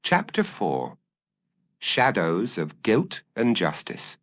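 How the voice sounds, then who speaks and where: A man narrating a gothic mystery story in a measured, solemn, dramatic style. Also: on the low side, wildly swooping pitch. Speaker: audiobook narrator.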